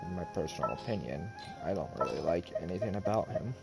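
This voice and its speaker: A man giving casual, blunt and opinionated gameplay commentary on a live stream, over loud game music. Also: low voice, expressive, quick pace, gravelly, rough recording. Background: game music and sounds.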